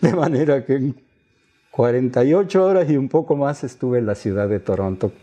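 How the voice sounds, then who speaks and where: An Argentine man in his eighties who grew up speaking Quechua tells of his own life as a guest in an interview. His voice is soft-edged and mellow, at a middling pitch, with the phrasing of a former announcer. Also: lively intonation, moderate pace. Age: 80s. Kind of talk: autobiographical storytelling in an interview.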